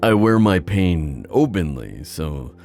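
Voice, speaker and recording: A man playing a wistful, theatrical sea god in an ASMR fantasy roleplay, musing with hesitant pauses and drawn-out phrasing. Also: sits low, very animated, a little mellow, faint music behind, full character voice. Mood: thoughtful, skeptical.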